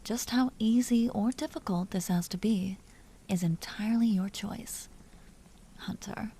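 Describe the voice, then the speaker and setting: Vampire duchess, played by a woman: seductive, imperious and teasing, dark soft tone, unhurried, intimate audio roleplay with whispered phrases. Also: mid-range voice, a little hiss or hum, lightly acted. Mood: calmly threatening. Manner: slow, breathy delivery dropping often into whispers. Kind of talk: scripted audio roleplay, intimate monologue to listener.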